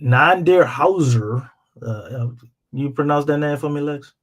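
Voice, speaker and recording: A man's voice: fairly high pitch, measured pace. An American man hosting a live sports show, chatting casually with slang, jokes and some swearing. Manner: slangy, joking, profane.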